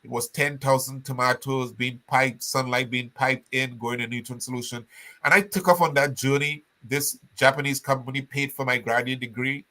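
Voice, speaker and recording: An older man speaks as an interview guest. His voice is bright and forceful. He tells his story in an animated way, often restarting his sentences. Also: medium pitch, moderate pace. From Trinidad.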